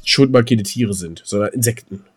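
A man in a casual German podcast chat, talking in an animated, colloquial and teasing way as co-host. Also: lowish voice, normal speed.

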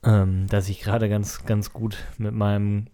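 Male podcast co-host, relaxed dark-toned delivery, conversational and explanatory, close-miked. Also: on the low side, a little slow. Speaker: podcast co-host and recording engineer. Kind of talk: casual podcast chat. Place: seated at a table. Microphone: close podcast microphone at a table.

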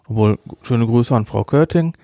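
A man hosting a podcast, giving a casual review in a blunt, emphatic, halting way. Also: medium pitch, mellow, dry recording, clean studio sound. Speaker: podcast host.

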